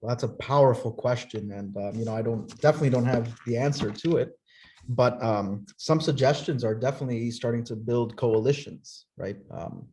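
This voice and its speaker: A man's voice: medium pitch, moderate pace, mellow. A man from Nicaragua giving an academic talk and answering questions, earnest and conversational, often checking in with "right?". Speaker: guest speaker.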